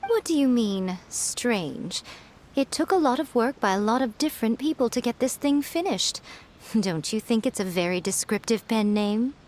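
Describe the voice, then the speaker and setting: A woman voicing a sly, confident fox-spirit lady who runs a publishing house, in a smooth, poised, teasing manner. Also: a little high, lively intonation, normal speed, darkish tone, close to an everyday voice. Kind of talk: video game voice acting.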